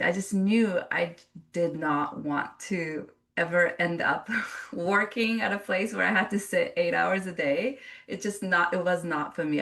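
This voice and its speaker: A woman's voice: fairly low pitch, gravelly, a bit bright, recorded from a distance. A woman who grew up in Japan, a yoga teacher telling her story in an interview, earnest and reflective with frequent restarts.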